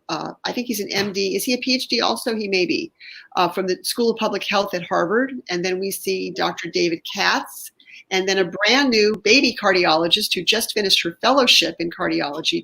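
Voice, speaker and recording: A woman's voice: medium pitch, gravelly, fairly bright tone, slightly rough, rough recording. A woman hosting an online interview show, chatty and enthusiastic, restarting her sentences often. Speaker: show host.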